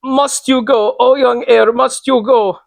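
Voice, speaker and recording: A man acts out an old landlady from a gothic storybook, frightened and hysterical. The voice is pushed high and loud, urgent and full of foreboding as it pleads. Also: fairly even intonation, unhurried, vocal fry, no echo, clean studio sound, exaggerated voice. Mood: frantic pleading. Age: older. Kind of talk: dramatic reading of a gothic novel.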